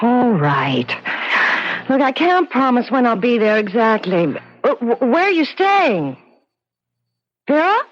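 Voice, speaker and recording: An actress playing a sensible, easily exasperated small-town schoolteacher in a radio drama. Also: female voice, fairly high pitch, sing-song, slow, heavily acted. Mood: resigned, worried. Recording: dull 1970s radio recording.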